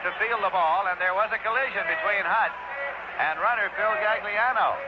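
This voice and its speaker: A man with an American accent calling baseball play-by-play in a measured, explanatory way on an old 1960s radio broadcast. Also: high-pitched, muffled recording. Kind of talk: live baseball play-by-play commentary. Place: outdoor ballpark broadcast. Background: loud noise, stadium ambience.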